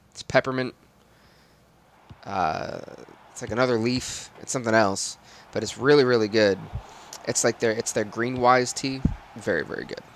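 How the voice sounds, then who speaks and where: A man with a raspy voice doing live football game commentary and stream chat in sportscaster phrasing. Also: mid-range voice, a little hiss or hum.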